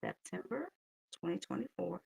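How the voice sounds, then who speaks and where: A middle-aged woman with a deeply gravelly, husky voice, still waking up and chatting softly as she colors. She wanders through her thoughts with plenty of ums, in an unhurried way. The sound is close and very dry, with no room around it. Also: big pitch swings, no room sound. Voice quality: raspy morning voice. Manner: soft, unhurried, thinking aloud with fillers. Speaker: coloring channel host. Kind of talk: casual early-morning coloring chat.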